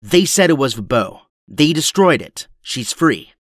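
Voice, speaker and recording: A man's voice: medium pitch, sing-song, measured pace, dry recording, studio quality. A man playing a weary but firm resistance leader in an audio drama. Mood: pleading, hopeful.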